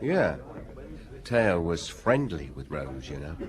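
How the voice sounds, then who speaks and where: A chatty, gossiping bartender, with a low voice that swings up and down with life. The murmur of a crowded bar fills the background. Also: male voice, sing-song, takes it slowly, a crowd in the background, full character voice. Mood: gossipy. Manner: friendly, gossiping, chuckling.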